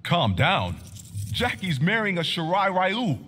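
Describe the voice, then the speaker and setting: A man's voice: high voice, sing-song, unhurried, bright, forceful tone, slight background noise, heavily acted. A man playing a blunt, tough-talking soldier father in a fighting game. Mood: stern. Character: gruff protective soldier father, middle-aged.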